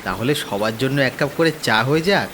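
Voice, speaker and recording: A man voicing a curious, friendly young villager in a horror cartoon, expressive. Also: medium pitch, a little fast, close to an everyday voice. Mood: curious, amiable. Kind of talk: dramatized cartoon dialogue and narration. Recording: noisy rain ambience behind the voice.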